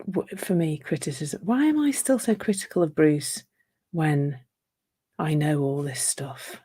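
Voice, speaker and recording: A middle-aged woman talks in a gentle, reflective way, coaching her listeners on relationships. Her voice sits a little low and carries a slight croak. She often stops and restarts her sentences as she thinks aloud. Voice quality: slightly croaky from a sore throat. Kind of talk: live online talk.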